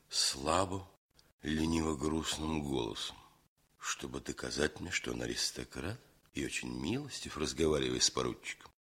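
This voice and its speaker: Radio play role: a blunt, proud lieutenant, very deep, forceful, husky, soldierly. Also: male voice, exaggerated voice. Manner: soldierly, plain-spoken, emphatic. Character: blunt, proud, hearty male army lieutenant.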